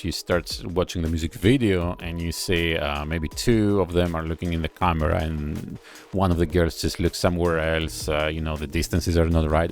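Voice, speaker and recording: A man giving casual reaction commentary on a music video, analytical and admiring, thinking aloud with pauses. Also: low-pitched, gravelly. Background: faint music video playing.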